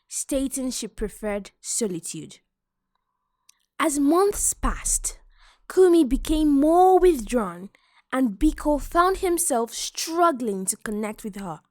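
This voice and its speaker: A woman narrating a folktale in a calm, measured, scripted reading. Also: high voice, dry recording, studio quality. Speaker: storyteller.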